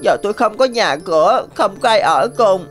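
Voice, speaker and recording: A woman's voice: mid-range voice, lively intonation, moderate pace, close to an everyday voice. A woman voicing a sweetly deceitful, vengeful young rabbit in a cartoon. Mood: pleading. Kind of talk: cartoon voice acting. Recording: faint music behind.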